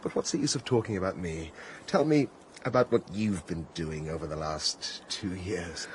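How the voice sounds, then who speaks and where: A man's voice: deep voice, moderate pace, a little hiss or hum, close to an everyday voice. A man with a British accent playing a disillusioned, cynical prince in a radio drama, well-spoken, weary and clipped in manner. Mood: reserved. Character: disillusioned, cynical Russian prince, a brooding landowner.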